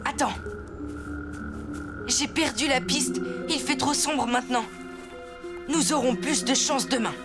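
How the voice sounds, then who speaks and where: A high, earnest young boy's voice, full of spirit and stubborn resolve. He is a young Sioux hero who speaks with determination. Music plays strongly underneath. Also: a little slow, long pauses. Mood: determined. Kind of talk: French animated series dubbing.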